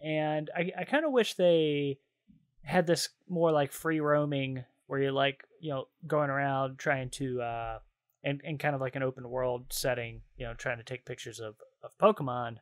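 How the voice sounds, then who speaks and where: A man hosting a gaming podcast, talking casually in a loose, halting conversational way. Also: mid-range voice. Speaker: podcast host.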